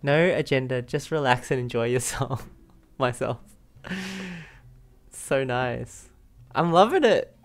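Relaxed young male game streamer. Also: medium pitch, slow, pauses often. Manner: relaxed, giggly, repeats himself. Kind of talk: casual reaction commentary while playing.

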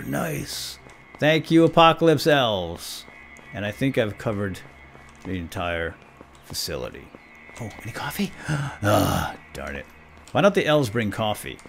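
A man's voice: medium pitch, sing-song, measured pace, creaky, a bit bright, soft background music. A man hosting a let's play, giving casual gameplay commentary with playful exclamations and asides.

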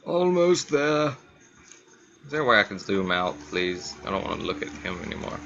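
A man's voice: lowish voice, big pitch swings, normal speed, creaky, fairly bright tone, dull audio, a little hiss or hum. A man hosting a gaming video with casual, offhand commentary on what he is playing.

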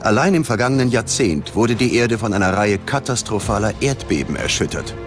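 Grave, low-voiced male documentary narrator. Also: expressive, brisk. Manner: grave, dramatic. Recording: music bed behind the voice. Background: background music.

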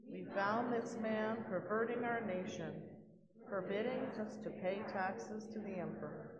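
A woman reading the part of accusing priests and elders in a church dramatic reading, measured in manner. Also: mid-range voice, very noisy, lightly acted. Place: large church. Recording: dull, echoey church hall from afar.

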